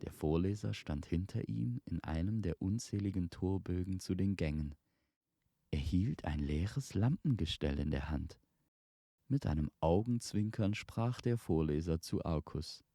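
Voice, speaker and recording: Casting: male storyteller, slow and mellow, solemn dramatic fantasy narration, echoing recording with ambience behind. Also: deep voice, expressive, clean studio sound. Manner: measured, solemn. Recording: reverberant, with noisy ambience behind.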